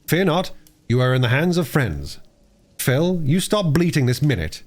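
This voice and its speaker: A man's voice: fairly high pitch, very animated, moderate pace, voice pushed up. A man voicing a proud, practical and bossy schoolboy in a storybook reading. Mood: brisk.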